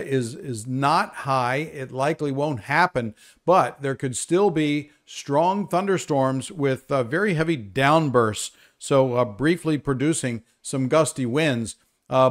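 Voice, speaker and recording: A man presenting a live, unscripted weather briefing, explaining things in a conversational way. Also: a little high.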